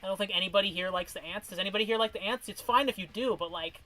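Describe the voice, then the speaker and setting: A man with a high, bright voice chats on a stream, ranking cartoon characters. He wanders into tangents and restarts his sentences often. His voice sounds a little worn from a long session. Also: racing, soft background music, rough recording. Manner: rambling, restarts, animated tangents. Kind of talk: casual chat on a stream.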